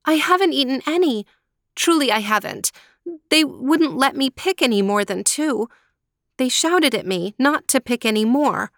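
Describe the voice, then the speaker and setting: A woman's voice: high-pitched, expressive, normal speed, a bit bright, no echo, clean studio sound, voice pushed up. A woman voicing a meek, polite young girl who speaks in an earnest, pleading way in a storybook. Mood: anxious, pleading.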